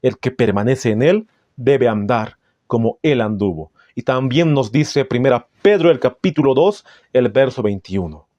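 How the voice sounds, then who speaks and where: A man with a Bolivian Spanish accent preaching in a solemn, didactic way, reading out passages with deliberate phrasing. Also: mid-range voice, animated, a little mellow, dry recording. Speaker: Adventist preacher. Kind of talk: sermon with scripture and book readings.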